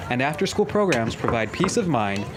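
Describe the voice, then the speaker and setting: A man's voice: mid-range voice, very animated, fairly quick, heavy background noise. A man in his twenties with an American accent, reading a scripted news report as a reporter. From Arizona, United States. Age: 20s.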